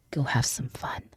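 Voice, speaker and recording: A woman with a cold giving a casual, chatty tarot reading, her voice stuffy and often dropping to a soft half-whisper. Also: dry recording. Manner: casual and chatty, with frequent laughs and fillers. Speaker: tarot reader.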